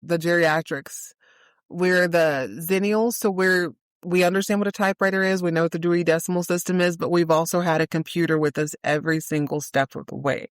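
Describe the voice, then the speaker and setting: A woman's voice: lowish voice, steady tone, brisk, clear, resonant tone, dry recording, clean studio sound. A woman in her forties hosting a podcast, chatting casually and reacting to her guest. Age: 40s. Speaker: podcast host.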